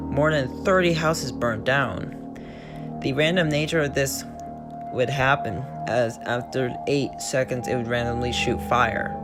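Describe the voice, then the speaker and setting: A man's voice: mid-range voice, unhurried, over loud music. A man hosting his channel who reads a creepypasta aloud word for word, often stumbling and restarting his sentences. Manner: plain reading with frequent stumbles and restarts. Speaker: channel host and story reader.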